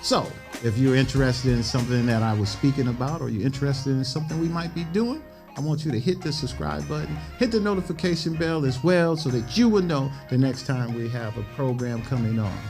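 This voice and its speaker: A man's voice: mid-range voice, a little fast, music in the background. A man hosting a podcast interview in a studio, easygoing and slangy. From Cleveland, Ohio. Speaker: podcast host. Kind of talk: casual interview chat.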